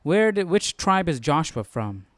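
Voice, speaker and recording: A man's voice: on the high side, very animated, measured pace. A man hosting a live study stream, thinking aloud in a casual, inquisitive way. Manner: musing, inquisitive. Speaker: host of a Bible study stream.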